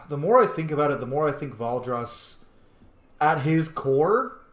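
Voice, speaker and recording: A man's voice: a little high, very animated, unhurried. A man giving casual, reflective let's play commentary, thinking aloud as he goes. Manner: reflective, thinking aloud, mimics opinions in quotes. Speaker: gamer, roleplay host. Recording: roomy, echoing room sound.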